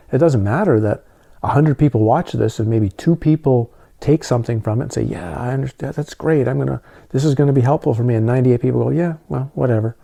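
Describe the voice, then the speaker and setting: A middle-aged man talking calmly and soothingly in a reflective monologue, often restarting his sentences. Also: medium pitch, vocal fry. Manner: calm, soothing delivery with frequent restarts. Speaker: spiritual talk host.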